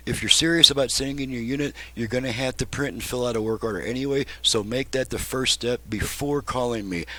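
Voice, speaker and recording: A man's voice: mid-range voice, bright, forceful tone. A man presenting as a repairman, casually demonstrating his work step by step in a folksy way.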